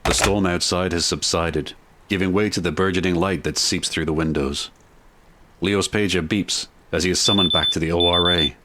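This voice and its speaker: A man narrating a scripted gothic audio drama as a measured, descriptive storyteller. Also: sits low, fairly even intonation, noisy background.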